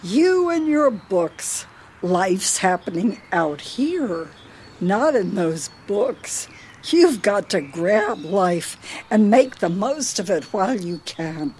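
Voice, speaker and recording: Woman acting a slow-talking, feisty elderly wife. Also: medium pitch, very animated, darkish tone, a little hiss or hum. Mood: needling. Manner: teasing, needling, theatrical. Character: feisty elderly wife, teasing, chatty homemaker and hostess. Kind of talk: radio play dialogue.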